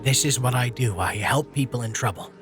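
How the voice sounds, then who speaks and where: Man acting earnest hero, mid-pitched, over music. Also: fairly quick, lightly acted. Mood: earnest, placating. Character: earnest, apologetic heroic man defending his actions. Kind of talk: dramatic audio drama acting. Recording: music behind the voice.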